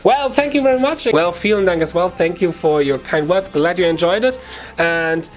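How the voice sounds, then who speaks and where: A man with a German accent hosting a guitar lesson, talking to viewers in an informal, chatty way with halting self-corrections. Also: sits high. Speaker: guitar teacher and video channel host. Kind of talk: casual video lesson talk to viewers.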